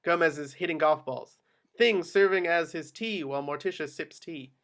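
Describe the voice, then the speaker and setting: Higher-voiced man reading stage directions. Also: normal speed, fairly bright tone. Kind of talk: scripted screenplay narration at a table read.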